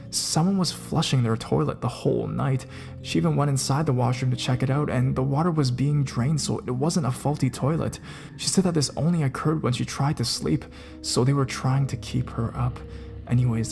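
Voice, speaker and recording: A man calmly narrating reader-submitted ghost stories in a measured, scripted way over guitar music. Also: medium pitch, creaky. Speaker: narrator of a ghost story channel.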